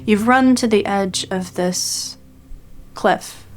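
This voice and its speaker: Unhurried woman running a tabletop game. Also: mid-range voice. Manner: halting, thinking aloud. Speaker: game master. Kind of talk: improvised tabletop game narration.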